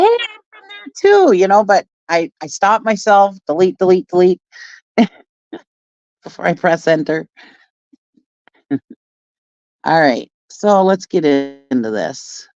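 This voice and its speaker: A woman's voice: mid-range voice, a little mellow, no room sound. A woman hosting a casual livestream who rambles, chats with her viewers and laughs often. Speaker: channel host reacting to clips. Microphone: phone. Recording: dry, dull lo-fi sound.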